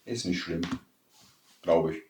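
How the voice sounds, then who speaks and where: A man's voice: deep voice, takes it slowly, darkish tone, slight background noise. A man, chatting casually as a podcast co-host. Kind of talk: casual chat. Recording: echoey room, distant from mic.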